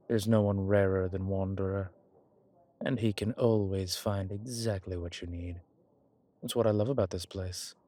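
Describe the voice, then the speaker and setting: A man plays an ancient, courteous vampire with a deep voice. He speaks slowly and genteelly, pausing as he picks his formal, old-fashioned words. The delivery is intimate and affectionate, as in an audio roleplay addressed to one listener. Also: darkish tone. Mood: gentle, matter-of-fact, then tender, courteous. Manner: genteel, measured, hesitant pauses, old-fashioned formal wording.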